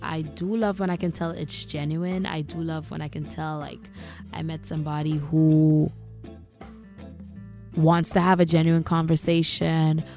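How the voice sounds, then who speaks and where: A woman's voice: low voice, level tone, unhurried, a little mellow, faint music behind. A young woman from Grenada with a Grenadian accent, hosting a casual monologue and talking candidly, a little exasperated. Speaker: podcast host.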